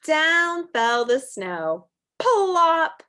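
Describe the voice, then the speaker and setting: A woman reads a picture book to young children in a fairly high, very lively voice. She takes her time, stretching out words for expression. In between she chats to the children and asks them questions, as a teacher would. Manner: expressive, drawn-out storybook reading with chatty asides. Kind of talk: read-aloud storytime with questions to children.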